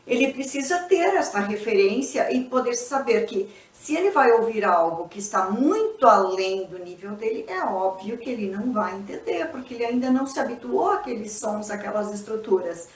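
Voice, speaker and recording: A woman's voice: a little high, lively intonation, moderate pace, hardly any pauses, distant mic. A young adult woman, an English teacher and native Portuguese speaker, explaining a lesson in a didactic way and often checking in with tag questions. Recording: echoey room, voice a bit off-mic.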